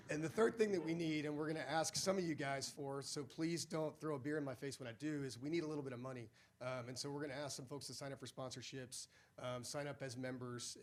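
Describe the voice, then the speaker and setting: A man, a nonprofit co-founder, speaking informally to a live audience, his voice hoarse and raspy from a cold. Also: mid-range voice, slight background noise.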